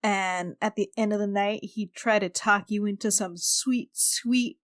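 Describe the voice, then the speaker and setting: A woman podcaster chatting casually and unscripted, pausing often to reformulate her thoughts. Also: mid-range voice, steady tone. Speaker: podcast cast member and player.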